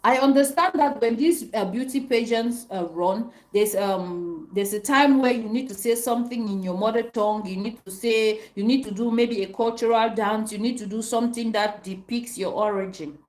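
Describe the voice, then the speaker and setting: A young adult woman hosting an opinion commentary, talking emphatically to viewers, repeating her points and filling pauses with um and uh. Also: a little high. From African country outside Nigeria and South Africa. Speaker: commentary channel host.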